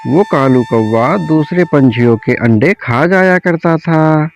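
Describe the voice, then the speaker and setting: Slow-paced, higher-pitched male storyteller. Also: expressive. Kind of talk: scripted children's story narration. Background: soft background music.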